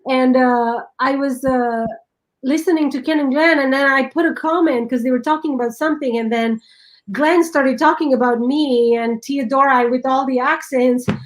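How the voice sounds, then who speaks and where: A woman with a foreign accent chatting casually on a live stream, excitable and quick to laugh, often restarting her sentences. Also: high voice, poor audio. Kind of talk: casual chat.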